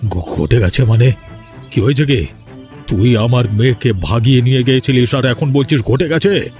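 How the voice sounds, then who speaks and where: A man's voice: medium pitch, normal speed, darkish tone, soft background music, lightly acted. A man voicing a proud, domineering village landlord and father in a cartoon, blustering and repeating himself. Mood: angry.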